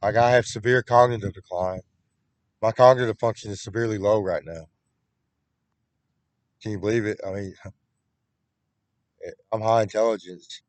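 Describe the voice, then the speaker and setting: A man's voice: on the low side, steady tone, unhurried, pauses often, no echo. A man talking in a rambling, repetitive solo monologue, with frequent restarts, recorded on a computer webcam.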